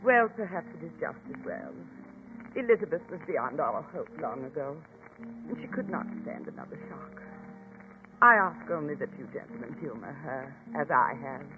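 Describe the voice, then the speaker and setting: An actress playing a guarded, genteel elderly spinster in a 1940s radio drama, speaking formally and with measure. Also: female voice, low voice, lively intonation, normal speed. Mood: grave. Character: guarded elderly spinster, protective older-seeming sister. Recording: tinny old radio broadcast, music behind.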